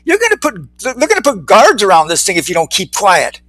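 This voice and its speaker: A man's voice: high-pitched, wildly swooping pitch, fairly quick, sharp tone, no room sound, full character voice. A man voicing an anxious, skeptical fly in a story, stammering a little when flustered. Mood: embarrassed, urgent. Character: anxious, skeptical male fly, reluctant ringleader.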